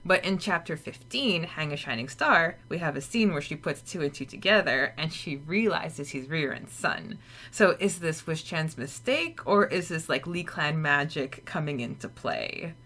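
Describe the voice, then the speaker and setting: A woman co-hosts a casual podcast, talking in a slightly low voice. She chats freely with plenty of fillers and keeps breaking into giggles. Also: expressive, normal speed, bright, forceful tone. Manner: giggly, chatty, lots of fillers. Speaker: podcast co-host. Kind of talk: casual chat about a fanfiction chapter.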